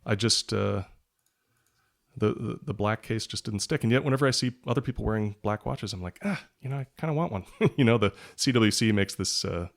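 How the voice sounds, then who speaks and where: Low, creaky-voiced man chatting casually. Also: brisk. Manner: rambling, hesitant, thinking aloud. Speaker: podcast guest, writer and product journalist. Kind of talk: casual conversational interview chat.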